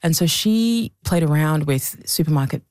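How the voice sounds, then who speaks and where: A woman's voice: low voice, lively intonation, moderate pace, a little mellow, no room sound, studio quality. A woman from Zambia, a documentary maker, speaking thoughtfully as a guest in a conversational interview, often restarting her sentences. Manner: thoughtful, with restarts and repeated words.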